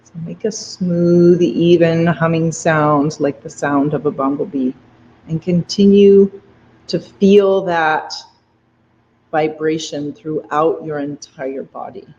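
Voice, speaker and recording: A meditation guide leading a guided breathing meditation in a soft, gentle, unhurried way. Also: mid-range voice, fairly even intonation, a little hiss or hum. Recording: dull lo-fi recording with faint ambience.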